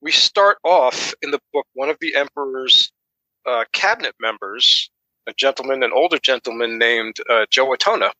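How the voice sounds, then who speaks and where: A man's voice: medium pitch, animated, normal speed, pushed and bright, no room sound, poor audio. A man co-hosting a podcast, giving a casual book summary in an informal, tentative way. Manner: tentative, informal, hedging as he explains. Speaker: podcast co-host.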